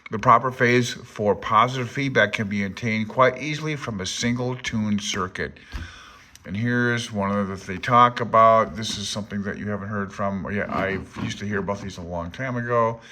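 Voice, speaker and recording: A man's voice: fairly low pitch, moderate pace, pushed and bright, a little hiss or hum. A man informally reading aloud from a handbook and commenting as a hobbyist presenter, self-deprecating and unsure as he thinks aloud. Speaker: amateur radio hobbyist presenter.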